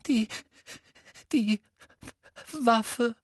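A man voicing a tearful, desperate teenage schoolgirl with a choked, shrill, sniffling voice in an audiobook. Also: expressive, a bit bright, no echo, heavily acted. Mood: sobbing, halting. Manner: sobbing, halting.

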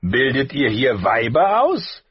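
A male narrator performs a hulking, menacing knight in a fantasy audiobook. The voice is very husky and pushed upward from a narrator's level, full of contempt and threat. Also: medium pitch, sing-song, a little slow, no echo, dull audio, pitched above the performer's own voice, exaggerated voice. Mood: scornful. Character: menacing, scornful big burned knight.

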